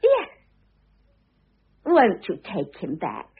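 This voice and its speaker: An actress playing an affectedly innocent, self-absorbed young second wife in a classic radio drama, with a gushing, theatrical, wheedling manner. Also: female voice, medium pitch, wildly swooping pitch, extremely slow, slightly rough, narrow, tinny audio, full character voice. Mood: coaxing. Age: young adult. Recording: thin band-limited old radio recording.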